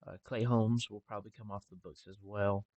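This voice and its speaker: A young man talking casually over a game with a congested, stuffy nose and a relaxed, rambling manner. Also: on the low side, brisk, dry recording, lo-fi sound. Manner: relaxed, rambling with filler ums. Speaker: gaming channel host. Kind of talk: casual gameplay commentary.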